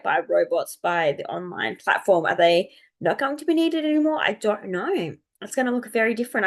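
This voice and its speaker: Female vlogger, thirties, slightly croaky with a cold, medium pitch, chatty unscripted delivery. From Australia. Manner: chatty, rambling, thinking aloud. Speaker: astrology video host. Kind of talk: casual unscripted chat to viewers.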